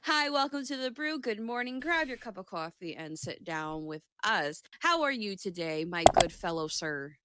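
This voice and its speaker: A woman co-hosting a podcast with casual banter, animated and playful, swearing freely. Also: medium pitch, normal speed, hardly any pauses, heavy background noise. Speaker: podcast co-host.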